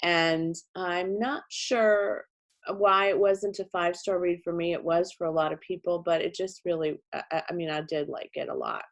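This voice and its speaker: A middle-aged woman with a low, deep-set voice talks chattily about books, often stopping to correct herself. She is still a little hoarse and congested, and the stuffiness shows in her voice. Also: fairly even intonation, normal speed. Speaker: book channel host. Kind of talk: casual book wrap-up.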